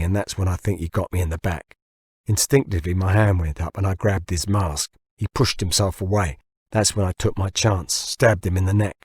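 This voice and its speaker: Audiobook role: injured diver, wry and stoical, weakened low voice man narrator plays him. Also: a little mellow, breathy edge, no room sound, studio quality. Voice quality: faint, weakened. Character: wounded, shivering male diver, wry and stoical.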